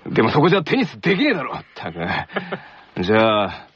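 A man playing an arrogant, bossy teenage tennis captain in a radio skit, with rough, commanding speech. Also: mid-range voice, huge pitch swings, fast, a bit bright, dry recording, lo-fi sound, heavily acted. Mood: haughty.

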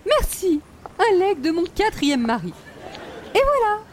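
Haughty older hostess, Quebec French, high-pitched, very animated intonation, precious diction, radio comedy, background noise. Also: female voice, a little slow, some chatter behind, exaggerated voice. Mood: prim, evasive. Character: affected, snobbish older society hostess, fussy and genteel. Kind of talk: old-time radio comedy acting.

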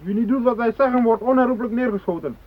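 An actor in a radio drama playing a jittery armed hijacker who gives sharp, threatening commands. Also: male voice, very high-pitched, fast. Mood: menacing, agitated. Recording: very dull, band-limited radio recording.

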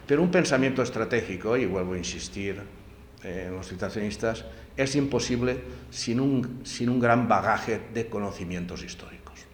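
A man's voice: fairly low pitch, moderate pace. A man giving a lecture at a library event, emphatic and dotted with tag questions. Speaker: speaker giving a talk. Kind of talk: public lecture. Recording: very echoey room, faint background noise.

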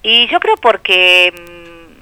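A middle-aged Argentine actress with a Buenos Aires accent, chatting in a radio interview, lively and joking. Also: female voice, lowish voice, takes it slowly, clear, resonant tone, dry recording. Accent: Buenos Aires Spanish. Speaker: actress guest.

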